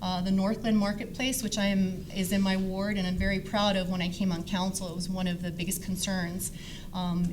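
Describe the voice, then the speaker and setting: Middle aged lady council member chatting at a forum, kinda rambly, noisy room recording. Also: medium pitch. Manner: rambling, conversational. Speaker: city council member and candidate. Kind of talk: informal speech at a candidate forum. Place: public forum, live event. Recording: noisy live room sound.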